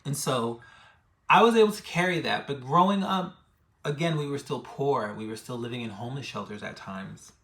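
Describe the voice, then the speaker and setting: A man in his thirties with a mid-pitched voice shares a personal story. His delivery is reflective and halting, and he leans on repeated phrases for emphasis. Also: animated, a bit bright. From Bronx, New York City, United States. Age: 30s. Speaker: death midwife and ritualist, vlogger.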